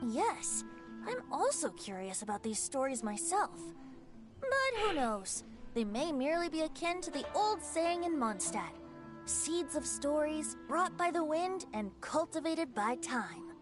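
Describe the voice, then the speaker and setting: High, lilting, carefree boyish bard. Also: female voice, sing-song, a little slow. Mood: sly, breezy. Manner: flowery, lilting, theatrical. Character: carefree young male bard, theatrical, vain and playful. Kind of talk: anime game voice acting. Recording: music behind the dialogue.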